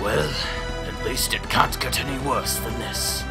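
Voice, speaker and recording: A man's voice: mid-range voice, unhurried, vocal fry, loud music behind the voice. A man voicing an exasperated, snapping young captain in a fan-made parody dub. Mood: weary.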